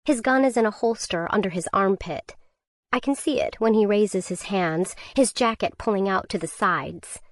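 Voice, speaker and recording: A woman narrating a first-person survival thriller audiobook in a tense, measured way. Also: mid-range voice, no room sound.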